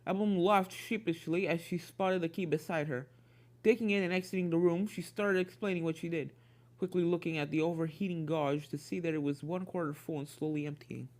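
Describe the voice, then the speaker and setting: A woman's voice: fairly low pitch, fairly even intonation, a little fast, darkish tone, no echo. A woman reading a fan fiction story as a scripted narrator. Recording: game music under the voice.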